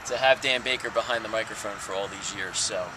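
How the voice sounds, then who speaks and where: A man's voice: on the low side, a little fast, noisy background. An American man conducting a casual on-location interview as a sports interviewer.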